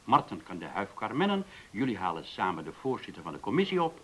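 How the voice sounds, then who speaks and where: Commanding, husky village mayor. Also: male voice, lowish voice, wildly swooping pitch, fast, heavily acted, poor audio. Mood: stern, commanding. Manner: authoritative, formal, emphatic. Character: commanding village mayor giving brisk orders. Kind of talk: radio drama acting.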